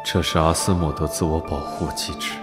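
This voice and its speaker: A man voicing a possessive, scheming young duke in an animated drama, controlled and teasing. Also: rumbling low, a little fast, music in the background. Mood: musing, intent. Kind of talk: Chinese animated drama acting.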